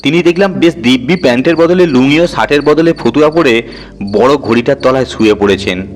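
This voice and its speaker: A man narrating a horror story in the first person with an expressive, dramatic storytelling delivery. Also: mid-range voice, a little fast. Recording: music bed under the voice. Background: music.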